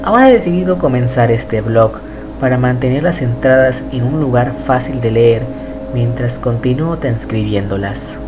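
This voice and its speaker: A man reading a scripted horror narration in a measured, even way. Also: medium pitch. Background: music.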